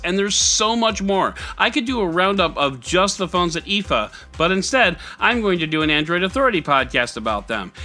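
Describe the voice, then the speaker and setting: A man's voice: fairly high pitch, bright, forceful tone. A man hosting a tech news podcast, reading scripted items with jokey, mock-dramatic and sarcastic asides. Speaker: podcast host.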